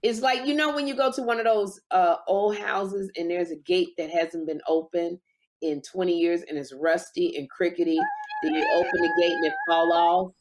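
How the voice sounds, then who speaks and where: A woman chatting casually in a group, telling long drawn-out stories. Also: fairly low pitch, fairly even intonation. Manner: storytelling, drawn-out phrasing.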